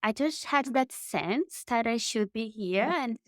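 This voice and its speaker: A woman's voice: fairly high pitch, lively intonation, a little slow, no echo, clean studio sound. A woman in her thirties from Brazil with a Brazilian Portuguese accent, a guest telling her story in an interview with enthusiasm and frequent restarts. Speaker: guest, marketing consultant.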